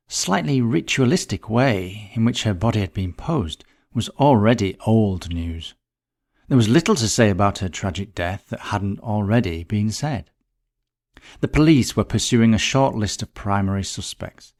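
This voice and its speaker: A man with a fairly low-pitched voice narrates a scripted short story. He reads as the narrator of a whodunnit, delivering prepared prose. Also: a little mellow. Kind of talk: scripted narration of a murder mystery.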